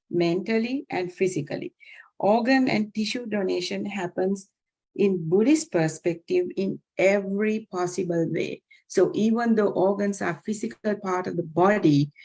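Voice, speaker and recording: A woman's voice: lowish voice, darkish tone, poor audio. Earnest Sri Lankan woman on a video call. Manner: earnest, unhurried, with frequent ums. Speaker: chaplain. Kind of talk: webinar talk. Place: home room, indoors. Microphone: video call, laptop camera.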